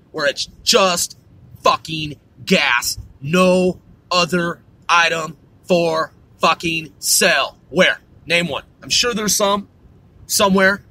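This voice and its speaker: A man's voice: high-pitched, pushed and bright, dry recording. A man hosting a podcast, ranting and swearing nonstop while he drives. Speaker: podcast host. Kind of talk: profane rant while driving. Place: in a car on the road.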